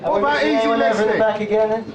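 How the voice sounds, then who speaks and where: Brisk man fielding press questions. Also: high-pitched. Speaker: press conference moderator, band member. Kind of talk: running a press conference. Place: crowded club venue. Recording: echoey club, distant muffled mic, crowd noise. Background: crowd of reporters.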